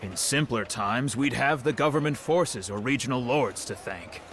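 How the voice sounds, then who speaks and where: A bright, chatty innkeeper. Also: male voice, mid-range voice, expressive, moderate pace, slight background noise. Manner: polite, gossipy and eager to serve. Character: friendly, chatty male innkeeper. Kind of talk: game cutscene voice acting.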